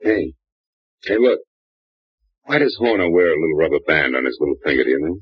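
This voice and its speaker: An actor playing a wisecracking hard-boiled private detective in 1940s radio drama style, on an old radio recording. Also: male voice, very deep voice, sing-song, a little fast, slightly rough, dry recording, exaggerated voice. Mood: sardonic. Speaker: narrator and lead. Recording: dull old radio broadcast.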